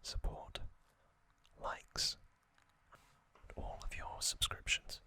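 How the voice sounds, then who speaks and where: A man whispering softly into a close microphone for an ASMR recap, his airy, crisp-edged whisper never rising to full voice. He takes his time, drifting slowly through each phrase with gentle pauses and little hesitations. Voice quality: soft unvoiced whisper throughout. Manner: gentle, unhurried, with frequent soft hesitations. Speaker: ASMR channel host.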